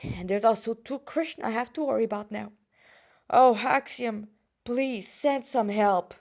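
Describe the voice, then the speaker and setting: A woman's voice: a little high, measured pace, gravelly, a little mellow. A weary gang boss and father from a story read aloud, played by a woman, fretting over his troubles. He talks as if to himself, burdened and worried. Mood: worried, pleading. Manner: fretful inner monologue.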